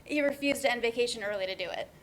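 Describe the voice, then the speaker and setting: A woman's voice: on the high side, rapid-fire, fairly bright tone, a little hiss or hum, natural voice. A woman playing a wry, sarcastic young woman with a dry, natural delivery in a play reading. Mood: fond, amused.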